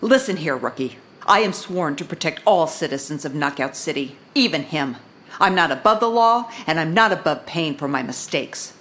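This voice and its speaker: A woman's voice: lowish voice, a bit bright. A woman playing a jaded, hard-boiled police commissioner, narrating a noir audio drama in a dry, world-weary, stern way. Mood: stern, worked up.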